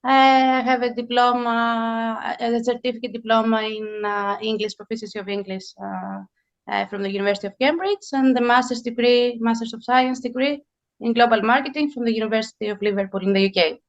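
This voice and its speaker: A woman in her forties from Greece, a native Greek speaker, chatting as a guest author in an interview and pausing often to think. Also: fairly high pitch, steady tone, muffled recording. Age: 40s. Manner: thoughtful, frequent hesitations. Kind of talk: casual interview chat.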